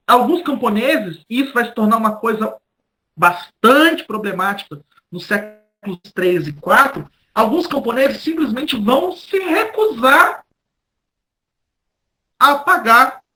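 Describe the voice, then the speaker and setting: Male Brazilian academic, sharp bright tone, halting lecture delivery with frequent rephrasing. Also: very high-pitched, animated, rough recording. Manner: halting, repeats and rephrases words. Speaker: history professor, guest lecturer. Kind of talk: academic lecture, spoken off the cuff.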